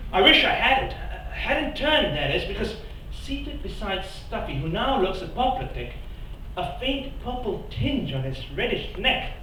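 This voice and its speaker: A man giving an expressive, playful live reading of a first-person story on a theatre stage. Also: high-pitched, a little hiss or hum. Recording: echoey theatre, mic far off.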